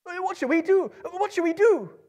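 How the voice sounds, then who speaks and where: High, fretful little hobbit. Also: male voice, lively intonation, brisk, a little mellow. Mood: panicked. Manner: plaintive, exclaiming. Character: small male hobbit, anxious, fretful complainer.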